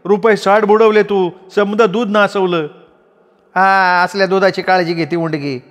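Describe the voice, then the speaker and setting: A man voicing a quarrelsome village woman who scolds in rapid, shrill taunts. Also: steady tone, big-room sound. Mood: scolding. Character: quarrelsome village woman, sister-in-law Dhabi.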